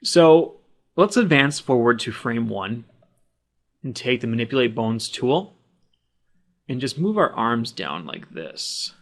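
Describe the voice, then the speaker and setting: A man narrating a software tutorial in a plain, instructional way, explaining each step as he does it. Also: medium pitch, sing-song, slow, pauses often. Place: voice-over of a screen recording.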